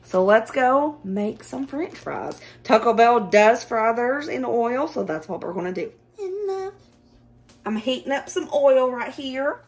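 A hoarse woman with a Southern US accent, chatting casually in her home kitchen as she walks through a recipe step by step. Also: a little high, measured pace. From Southern United States. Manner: chatty and relaxed, gives step-by-step directions. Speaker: home cooking vlogger.

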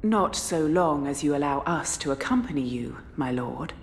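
Female retainer for a fantasy game: courteous, composed, low-pitched. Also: lively intonation, normal speed, husky, heavily acted. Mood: polite, composed. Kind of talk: video game cutscene acting.

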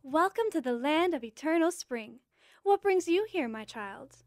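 A woman playing a kindly, quirky goddess queen of spring in an audio drama, nurturing and gently formal. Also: high-pitched, lively intonation, normal speed, no echo, clean studio sound. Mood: welcoming.

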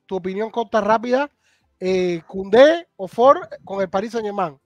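High-voiced Cuban host, lively. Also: male voice, moderate pace, soft background music. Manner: animated, thanks viewers, calls guest gato and hermano. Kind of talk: casual live stream chat.

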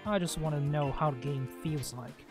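Male gameplay host, slightly high voice, casual and bluntly opinionated, rambling over faint game music. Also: moderate pace. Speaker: gaming video host playing and commenting.

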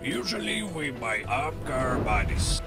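An actor playing a gruff middle-aged male racer who mentors a younger driver. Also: medium pitch, big pitch swings, a little slow. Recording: game cutscene with loud music.

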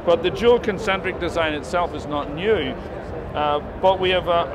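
A middle-aged man giving an enthusiastic, technical, unscripted product demonstration as a company representative. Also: on the high side. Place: busy indoor exhibition hall. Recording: noisy trade-show hall, loud background bustle.

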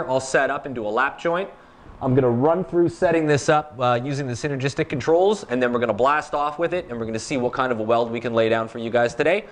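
Laid-back man explaining a welding machine. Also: mid-range voice. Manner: relaxed, plain-spoken shop talk with fillers. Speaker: welding demonstrator. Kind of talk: casual product demonstration. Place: welding workshop, indoors.

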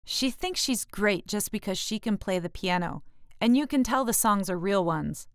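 Woman reading as a sulky little brother, smooth-voiced. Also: medium pitch, lively intonation, moderate pace, dry recording, clean studio sound, lightly acted. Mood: resentful, boastful. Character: sulky six-year-old boy, jealous defiant little brother.

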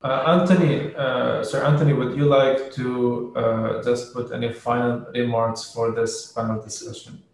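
A man's voice: mid-range voice, level tone, unhurried, few pauses. A middle-aged man moderating a panel on a video call, polite and formal. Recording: very echoey room on a video call.

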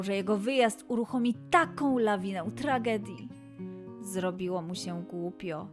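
A woman narrating a scripted children's story in an expressive way, with dramatic pauses and emphasis. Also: a little high.

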